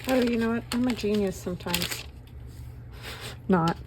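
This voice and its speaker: A middle-aged woman with a slightly hoarse voice talks her viewers through a craft project in a rambling, self-mocking way. Paper rustles and clicks sound behind her, and the recording has a clear layer of background noise. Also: mid-range voice, moderate pace. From northern Nevada, US. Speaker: craft channel host. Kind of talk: chatty crafting commentary.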